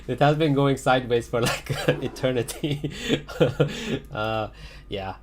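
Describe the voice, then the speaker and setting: A man giving casual livestream commentary on trading charts, hesitant and full of fillers, often repeating himself. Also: medium pitch. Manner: hesitant, many fillers, repeats phrases, chuckles. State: voice getting raspy after a long stream. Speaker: crypto chart analyst on a livestream.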